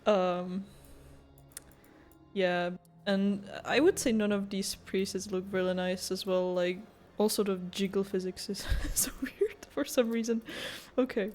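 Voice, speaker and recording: Casting: informal young female gaming creator, average pitch, chatty and loose, light music underneath. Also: fairly even intonation, a little slow, a little mellow. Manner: rambling, informal, giggly. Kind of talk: casual playthrough commentary.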